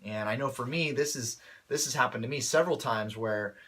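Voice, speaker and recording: A man speaks with a bright, slightly tense voice that a cold has left a little off. He coaches in a relaxed, upbeat way and keeps turning to his listeners with quick check-ins. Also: medium pitch. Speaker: martial arts instructor. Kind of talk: casual instructional talk to camera.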